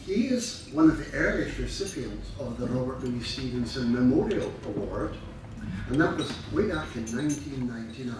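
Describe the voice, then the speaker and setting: An older man giving a formal introduction of a speaker, measured, courteous and slightly halting. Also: medium pitch, lively intonation. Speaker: club president introducing a speaker. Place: large room at a club event. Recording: very echoey room, distant mic, noisy.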